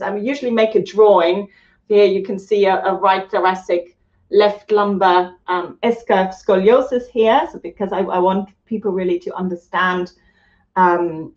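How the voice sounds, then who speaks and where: A woman's voice: mid-range voice, darkish tone, reverberant, dull audio. A woman from Germany teaching yoga on a live stream, chatting casually and reading out viewer comments.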